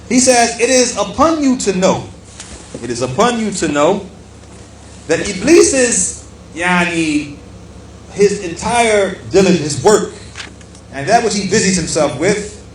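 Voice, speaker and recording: A man giving an Islamic lesson, reading and explaining a text emphatically and repeating phrases for weight. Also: high voice. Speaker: Islamic lecturer. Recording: echoey room with background noise.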